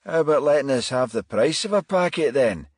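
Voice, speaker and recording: A man's voice: medium pitch, lively intonation, fairly quick, husky, dry recording, clean studio sound, voice pushed up. A man voicing a young, aggressive, hot-tempered thug in a crime audiobook. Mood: menacing. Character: muscular young male thug, menacing, hot-tempered, foul-mouthed.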